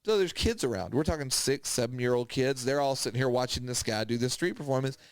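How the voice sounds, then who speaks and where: A man hosts a casual chat in a close, dry-sounding space. He tells anecdotes in an animated way, slipping into quoted reactions and salty language. His pitch sits in an ordinary middle range. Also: fast talker, smooth, no echo. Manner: animated retelling with acted-out quotes, swearing. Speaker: podcast host.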